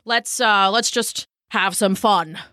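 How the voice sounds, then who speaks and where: A woman's voice: mid-range voice, slow pace, pushed and bright, no room sound, studio quality. A woman voicing a grumpy, bulky old male bullfrog in a storybook, with a blustering, pleading manner. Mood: sheepish, mumbling.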